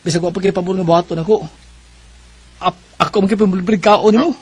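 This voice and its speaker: A lovestruck young dude, really high voice, slangy banter, radio play. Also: male voice, steady tone, brisk, husky. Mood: playful. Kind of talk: radio drama acting.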